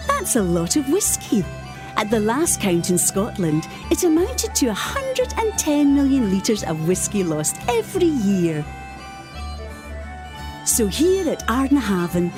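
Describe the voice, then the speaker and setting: A polished presenter in an audio drama, giving a scripted promotional speech. Her female voice sits fairly high and moves with lively, swooping intonation. Music plays clearly behind her.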